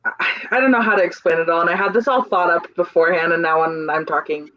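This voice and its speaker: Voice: medium pitch, steady tone, fast, no echo, highs cut off. A young adult stage manager in a casual panel chat, talking earnestly and searching for words as she rambles. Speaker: stage manager on a theater crew.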